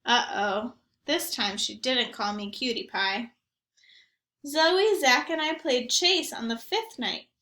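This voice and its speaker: A woman voicing an eager, naive, mischievous young dog telling his own picture-book story in an expressive, earnest way. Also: on the high side, slow pace, reverberant, full character voice. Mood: anxious. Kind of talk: first-person picture book narration.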